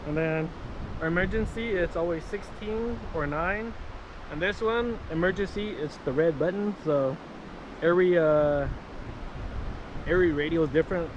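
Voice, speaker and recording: A man's voice: fairly high pitch, normal speed, lo-fi sound. Easygoing man on a noisy, windy waterside recording. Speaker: vlogger demonstrating marine VHF radios. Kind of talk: casual, improvised product demonstration. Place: outdoors by the water. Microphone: action camera.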